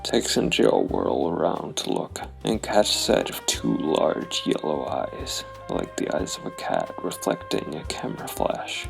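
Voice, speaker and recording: A man narrating a solo noir role-playing game as its host, telling the story in an unhurried, deliberate way. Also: rumbling low, wildly swooping pitch, vocal fry, faint music behind.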